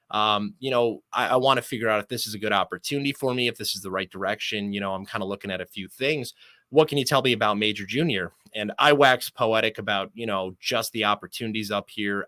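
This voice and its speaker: A man's voice: fairly low pitch, sharp tone, no room sound. A man who works as a sports announcer, chatting casually and at length as an interview guest, telling anecdotes in a fluent, talkative way.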